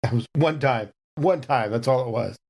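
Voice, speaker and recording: A man's voice: medium pitch, normal speed, darkish tone. A man playing a tabletop game, talking casually and bantering with the other players.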